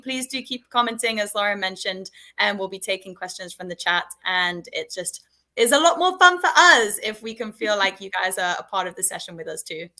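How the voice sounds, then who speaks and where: British woman, young, bright and clear tone, friendly chatty live-stream guest. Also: medium pitch. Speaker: head of marketing operations. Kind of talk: casual live-stream chat.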